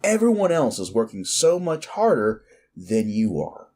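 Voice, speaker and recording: A man's voice: medium pitch, sing-song, unhurried, a little mellow. A man playing a smug, sleazy werewolf boss in an audio roleplay, talking down to the listener in a mocking, patronizing drawl. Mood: scornful, bullying. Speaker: office boss.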